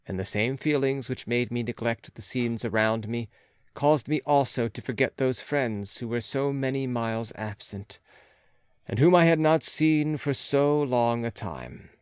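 A man narrating classic literature as an audiobook, reading in a measured, formal manner. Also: mid-range voice, no room sound, studio quality. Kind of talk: scripted literary narration of a classic gothic novel.

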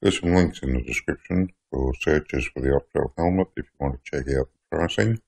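A man's voice: a bass voice, fairly quick, gravelly, darkish tone. An older man, a hobby welder, chatting plainspoken and self-deprecating as he reviews gear in a workshop shed. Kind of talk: casual product review.

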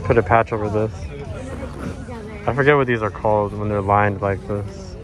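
A man chatting casually with excited, quick reactions while filming a friend's clothing haul outside. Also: lowish voice, over music, crowd noise. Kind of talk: casual chat. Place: outdoors on a street. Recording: outdoor street noise.

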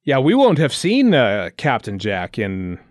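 A man's voice: medium pitch, huge pitch swings, measured pace, no room sound, clean studio sound. A man co-hosting a podcast, chatting casually about television in a hesitant, rambling way. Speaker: podcast co-host. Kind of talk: casual TV commentary chat.

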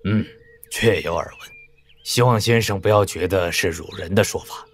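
Man playing a low-voiced, measured court official. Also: slight background noise, natural voice. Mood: polite. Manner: measured, formal, polite. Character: reserved, cautious, courteous male imperial court official. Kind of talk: Chinese wuxia audio drama acting.

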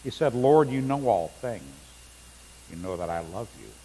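A man's voice: on the low side, expressive, normal speed, dark tone. A middle-aged male pastor preaching a sermon in a church sanctuary, reading scripture aloud with rising emphasis. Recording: long church reverb, faint hum.

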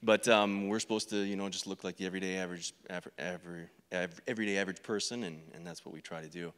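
An American man giving an informal career talk as an agent, halting and hesitant, speaking into a handheld microphone on a stage. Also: low-pitched, fairly even intonation, fairly bright tone, clean tone. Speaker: drug enforcement agent, panel speaker. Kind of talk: informal career presentation to an audience. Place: stage of an auditorium. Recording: reverberant hall sound.

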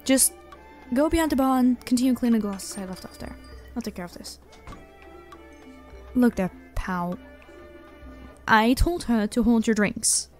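A woman acts out an elven tavern keeper for an ASMR roleplay. Her voice sits fairly high, and she talks to the listener with familiar, teasing pet-name warmth backed by a protective, tough streak. Soft music plays behind her. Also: unhurried, long pauses, lightly acted. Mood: firm, scolding.

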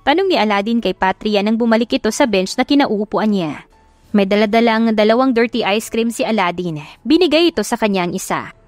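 A woman's voice: a little high, animated, fairly quick, dry recording. Filipino female audiobook narrator for scripted romance, dry sound, music bed. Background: background music.

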